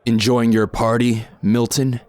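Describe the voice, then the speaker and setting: A man playing a cold, menacing masked mercenary in an audio drama, speaking in a measured, composed way. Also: mid-range voice, flat delivery, darkish tone, no room sound, soft background music, close to an everyday voice. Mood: cool menace.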